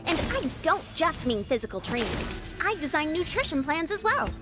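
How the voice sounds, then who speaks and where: A woman's voice: very high voice, sing-song, normal speed. A woman playing a spirited, confident young female warrior and sports coach in a video game, upbeat and self-assured. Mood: proud, brisk. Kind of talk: English video game voice acting. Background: music.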